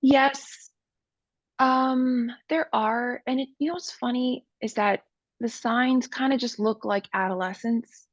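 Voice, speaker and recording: A woman's voice: on the high side, steady tone, slow, no room sound, lo-fi sound. A woman from Miami, a podcast guest, speaking earnestly and candidly with frequent fillers. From Miami, USA. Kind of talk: personal interview talk.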